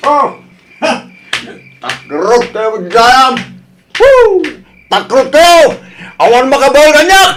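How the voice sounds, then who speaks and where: An actor playing a drunk, hot-tempered, bullying husband in an Ilocano radio drama, speaking Ilocano-accented with a slurred, taunting delivery. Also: male voice, very high voice, sing-song, drawn-out words, pauses often, background noise, heavily acted. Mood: drunken defiance.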